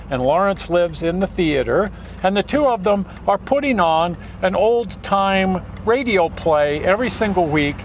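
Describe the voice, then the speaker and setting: A man hosting a travel show, presenting in a casual, conversational style. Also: a little high. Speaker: host.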